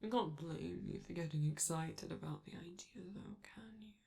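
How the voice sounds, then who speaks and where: A woman voicing a flamboyant, vain robot star in a scripted ASMR roleplay, theatrical and doting, with teasing endearments and drawn-out words. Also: lowish voice, creaky, dark tone. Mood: welcoming, flirtatious.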